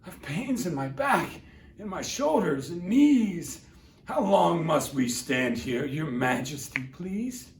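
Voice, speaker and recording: A man's voice: a little high, big pitch swings, slow pace. A man voicing a meek, weary turtle who pleads and groans. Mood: pleading. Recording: roomy, distant mic.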